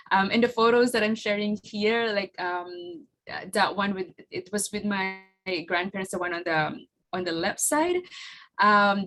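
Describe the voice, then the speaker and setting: A woman's voice: mid-range voice, fairly bright tone, low-quality recording. A woman in her forties from the Philippines, whose first language is Tagalog, gives a casual presentation over a video call, hesitant and full of fillers and restarts. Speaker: guest speaker presenting her flower farm.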